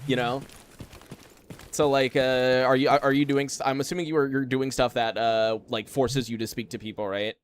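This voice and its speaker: A young man talks through a game stream in a loose, rambling way, full of fillers and half-finished thoughts. His voice sits in an ordinary middle pitch. A faint hiss of noise runs under him. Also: level tone, moderate pace. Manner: rambling, filler-heavy, chatty. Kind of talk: casual live gameplay chat. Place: room at home.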